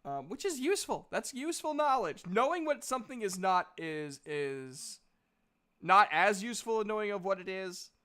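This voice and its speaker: A man's voice: high-pitched, big pitch swings, normal speed, bright, forceful tone. A man casually narrating and bantering as he runs a tabletop roleplaying game.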